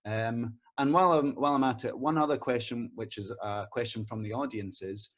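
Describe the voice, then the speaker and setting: Rambling church interviewer. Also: male voice, mid-range voice, a little fast. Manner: rambling, stacks several questions at once. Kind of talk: casual interview questions.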